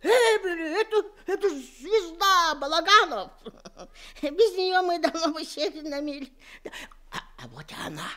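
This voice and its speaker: A gushing carnival hostess. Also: female voice, very high voice, sing-song, measured pace, bright, forceful tone, full character voice. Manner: gushing, enthusiastic. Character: bubbly, welcoming carnival woman. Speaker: radio drama actress. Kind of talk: 1970s Soviet radio play acting.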